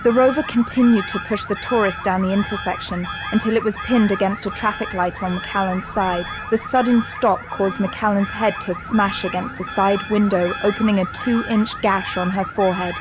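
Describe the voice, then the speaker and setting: A woman's voice: medium pitch, steady tone, moderate pace, few pauses. A woman narrator reading scripted, dramatic third-person narration for an audio drama. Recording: prominent music bed under narration.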